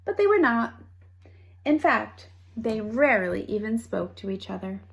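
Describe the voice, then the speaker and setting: Slow, high-voiced woman reading a storybook. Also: very animated, gravelly. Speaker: storybook reader. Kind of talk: expressive children's read-aloud narration.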